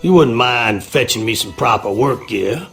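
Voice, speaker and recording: An actor playing a folksy, skeptical handyman in a video game, with a gravelly Southern US drawl. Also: medium pitch, lively intonation, moderate pace, over music. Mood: dismissive.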